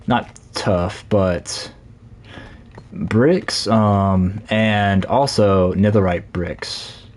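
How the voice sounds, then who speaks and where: A man live-streaming a Minecraft session, chatting casually in a scratchy, slightly hoarse voice while he plays. He rambles, corrects himself and leans on fillers. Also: lowish voice, steady tone, very slow, a little mellow. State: hoarse from talking a lot.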